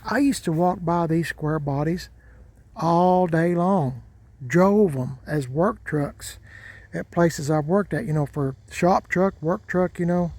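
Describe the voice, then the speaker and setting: An older man talks in a slow, folksy ramble and often repeats himself. His voice has a warm, mellow tone and sits a little high for a man. He speaks casually outdoors as the host of his own vlog. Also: dry recording. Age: 60s. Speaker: truck channel host. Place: outdoors by parked trucks.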